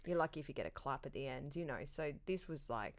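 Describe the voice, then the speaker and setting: An Australian woman in her thirties with a smooth, resonant, slightly low voice chats as a podcast guest. She pokes fun at herself and talks easily, close to a studio mic. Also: lively intonation, quick pace. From Sydney, Australia. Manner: self-deprecating, laughs at own jokes. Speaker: comedian guest. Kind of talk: casual interview chat. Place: dark studio set, seated in armchair. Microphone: studio condenser mic on stand.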